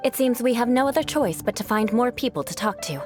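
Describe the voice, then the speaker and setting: A woman's voice: a little high, animated, over music, natural voice. Haughty, composed young noblewoman knight voiced by a woman. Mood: composed, instructive. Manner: haughty, formal, measured phrasing. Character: proud young aristocratic woman knight, formal, vengeful, composed. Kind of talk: video game voice acting.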